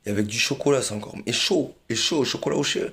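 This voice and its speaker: A man's voice: medium pitch, fast, pushed and bright. A man rambling in a casual monologue, repeating himself often, with many fillers and little chuckles.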